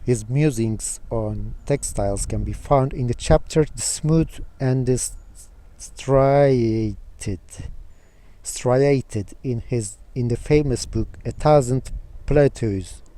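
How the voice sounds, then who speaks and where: Young man, Turkish accent, native Turkish speaker, very dark mellow tone, careful unhurried reading aloud. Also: medium pitch, dry recording. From Turkey. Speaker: vlogger reading a book aloud. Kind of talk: casual talk to camera and reading aloud.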